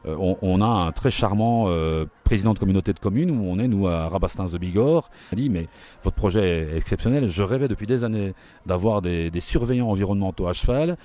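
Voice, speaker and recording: Middle-aged Belgian man, deep and quick, dark mellow tone; informative interviewee. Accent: Belgian French. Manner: brisk and informative, with frequent fillers. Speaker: interviewee, equestrian trainer. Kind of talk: explanatory interview talk.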